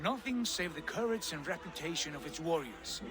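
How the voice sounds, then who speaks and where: An actor playing a boisterous viking warrior who booms out battle cries in a video game cutscene. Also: male voice, lively intonation, normal speed, natural voice. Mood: calm. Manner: booming, declamatory battle cries. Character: boisterous male viking warrior brother in the afterlife.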